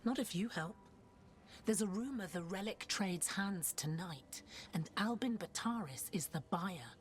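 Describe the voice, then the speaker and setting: A woman's voice: mid-range voice, lively intonation, unhurried, slight background noise, lightly acted. A woman voicing a dutiful, guarded templar officer in a video game, dry and clipped with wry exasperation. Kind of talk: video game cutscene acting.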